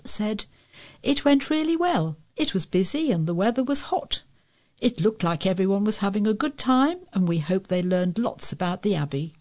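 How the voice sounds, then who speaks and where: A woman's voice: medium pitch, darkish tone. A woman, reading scripted local news stories as a volunteer newsreader. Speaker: volunteer newsreader for a talking newspaper.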